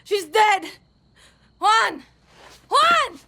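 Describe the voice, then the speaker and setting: An actress playing a young, impulsive guerrilla fighter in a video game, with a raw, emotional delivery. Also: female voice, very high-pitched, sing-song, drawn-out words, vocal fry, pushed and bright, slight background noise, heavily acted. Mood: frantic grief. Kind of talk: dramatic video game cutscene acting.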